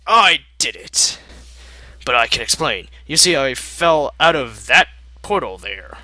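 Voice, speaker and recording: Guy as a nervous robot mech dude, very sharp bright voice, blurts stuff out, apologetic, fan story reading. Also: mid-range voice, sing-song, unhurried, no echo, voice pushed up, exaggerated voice. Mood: confessing.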